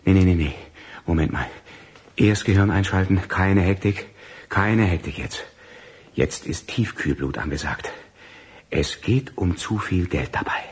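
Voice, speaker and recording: An actor in a German radio drama playing an agitated man who mutters to himself in short clipped phrases, trying to keep calm. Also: sits low, unhurried, slight background noise. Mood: self-calming, urgent. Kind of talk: German radio drama acting, interior monologue.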